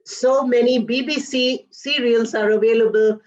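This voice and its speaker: A woman who teaches English, giving a slow, deliberate lecture and explaining step by step with simple examples. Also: fairly high pitch, steady tone, darkish tone, highs cut off.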